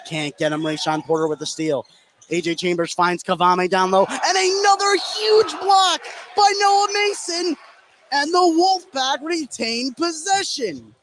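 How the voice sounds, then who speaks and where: A man's voice: very high-pitched, animated, unhurried, crowd noise. A man doing live play-by-play basketball commentary in a gym, his delivery swelling as the action heats up. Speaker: play-by-play announcer. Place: indoor high school basketball gym. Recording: clearly noisy gym ambience. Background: gym noise.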